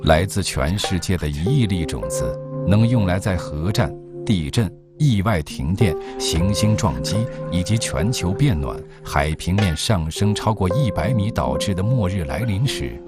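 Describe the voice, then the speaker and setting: A man narrating a documentary in a calm, measured scripted voice-over. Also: low-pitched. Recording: voice-over under loud music.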